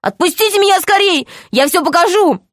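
A woman as a high-pitched, defiant young boy. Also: expressive, a little fast, no echo, clean studio sound. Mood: urgent. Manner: high, exclaiming, blunt. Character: defiant, hot-headed noble young boy.

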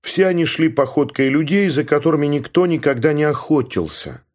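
A man giving a steady, measured first-person narration from a novel, in the manner of an audiobook reader. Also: fairly high pitch.

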